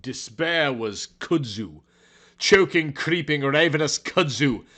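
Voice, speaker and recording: A man narrating a story in a theatrical, brooding, overwrought style, as if every line were high drama. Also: lively intonation, takes it slowly, fairly bright tone.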